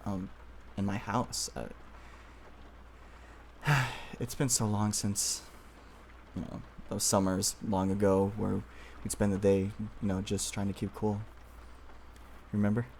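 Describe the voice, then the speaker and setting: A man playing an obsessive, tender but possessive childhood-friend boyfriend in an ASMR roleplay, speaking softly and hesitantly with nervous pauses. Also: lowish voice, flat delivery, gravelly, a little hiss or hum. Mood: shy, fond.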